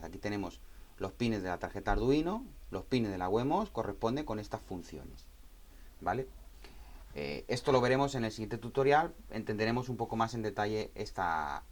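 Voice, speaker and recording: A man giving an informal hands-on technical tutorial, explaining step by step and often repeating and rephrasing as he goes. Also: on the low side. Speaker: tutorial presenter.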